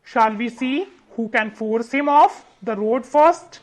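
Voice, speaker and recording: A woman's voice: on the high side, slow, pitched below the performer's own voice. A woman voicing a proud, boastful male wind in a children's storybook, with a challenging manner.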